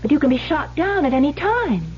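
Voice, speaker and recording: Female for proud, defensive radio-drama heroine. Highish, expressive voice, muffled vintage broadcast with faint hiss. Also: a little fast. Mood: defensive, shaken. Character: guarded, proud former actress, unhappily married, defiant. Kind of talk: old-time radio drama acting.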